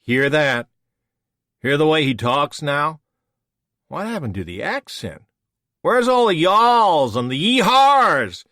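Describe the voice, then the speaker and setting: A taunting, boastful male villain in a storybook, put on by a man reading aloud. The voice is pushed higher and louder than the reader's own, with wide, lively swings. Dry, close recording. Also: slow, pauses often, no room sound, full character voice. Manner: taunting, mocking.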